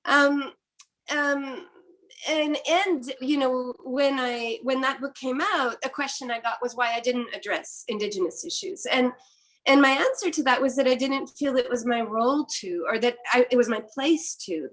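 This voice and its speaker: Halting, thoughtful woman panelist. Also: high-pitched, a bit bright. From Quebec, Canada. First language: French. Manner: thoughtful, halting, many restarts and fillers. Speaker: philosopher panelist. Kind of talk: academic panel discussion.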